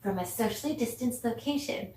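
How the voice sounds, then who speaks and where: Woman, children's dance teacher, higher-pitched voice, encouraging, distant echoey recording. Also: animated, brisk, mellow. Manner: encouraging, with praise and questions to pupils. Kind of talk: children's video lesson, speaking directly to pupils. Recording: roomy echo, distant mic, background noise.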